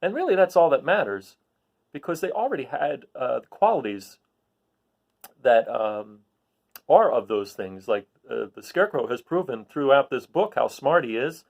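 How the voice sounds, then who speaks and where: A man reading a storybook aloud as an expressive narrator. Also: medium pitch, normal speed, creaky, muffled recording. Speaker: storybook reader.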